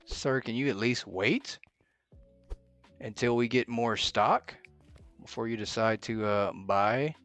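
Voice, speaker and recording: A man's voice: medium pitch, slow pace, long pauses, a bit bright, soft background music. A man giving live gameplay commentary as a streamer, thinking aloud and muttering to himself as he plays. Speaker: game streamer.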